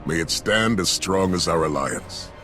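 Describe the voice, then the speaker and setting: An actor playing a proud, formal military ally in a video game. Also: male voice, low voice, animated, unhurried, music in the background. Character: proud, formal male military ally presenting war machines. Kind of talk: cinematic game dialogue.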